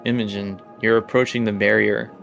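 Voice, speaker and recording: Low-voiced man running a tabletop game. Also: little pitch movement, brisk, creaky, a bit bright, faint music behind. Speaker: game master. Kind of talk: improvised tabletop game narration.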